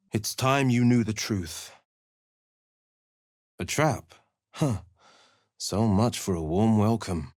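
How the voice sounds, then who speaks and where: A dashing, dutiful young warlock hero delivers earnest clipped lines in a video game. His pitch rises and falls freely while he keeps an unhurried pace. The studio sound is close and dry. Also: no room sound. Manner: earnest, crisp one-liners. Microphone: close studio mic.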